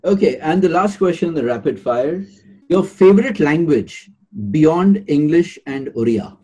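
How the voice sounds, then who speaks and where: A man hosting an interview, asking measured questions and wrapping up politely. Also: on the high side, expressive, dark tone, slightly rough. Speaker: interview host.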